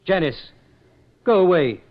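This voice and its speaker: Fussy, animated gentleman. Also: high-pitched, unhurried. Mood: startled, exasperated. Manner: hesitant, theatrical. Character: fussy, courteous believer, flustered and exasperated gentleman. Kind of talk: old-time radio drama acting. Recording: muffled old radio recording.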